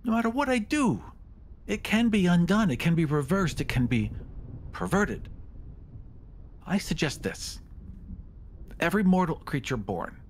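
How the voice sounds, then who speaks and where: A man playing a proud, scheming mortal petitioner in a tabletop fantasy game, with a theatrical, halting delivery. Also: medium pitch, slight background noise, lightly acted. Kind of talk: tabletop roleplay acting.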